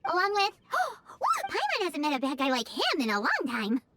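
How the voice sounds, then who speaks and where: A woman voicing a tiny, cheeky fairy girl companion in a video game, squeaky, childlike and perky. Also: wildly swooping pitch, normal speed, heavy background noise, full character voice. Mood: chirpy, surprised. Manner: perky, exclamatory, refers to herself by name.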